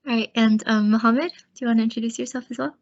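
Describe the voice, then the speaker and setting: A woman's voice: a little high, steady tone, fast, a little mellow. A woman hosting a community video call, friendly and upbeat, with many ums. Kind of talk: casual meeting hosting. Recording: muffled video call audio.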